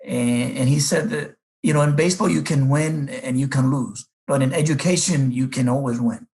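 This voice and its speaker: Gravelly man. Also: medium pitch, a little mellow. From Dominican Republic. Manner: reflective, unhurried, with many fillers. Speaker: guest, baseball coach. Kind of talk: casual interview storytelling.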